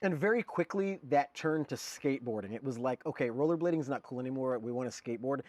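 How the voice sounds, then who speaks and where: A man's voice: medium pitch, animated, fast, a little mellow, no room sound. A man in his forties who grew up in Germany, a guest artist talking casually and rambling, with lots of fillers and "dude". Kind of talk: casual conversation.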